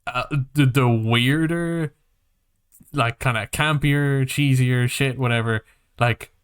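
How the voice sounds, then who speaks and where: A man with a medium-pitched voice co-hosts a casual film and game chat. He is animated and swears freely, circling around his point in halting, rambling phrases. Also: clean tone. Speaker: podcast co-host.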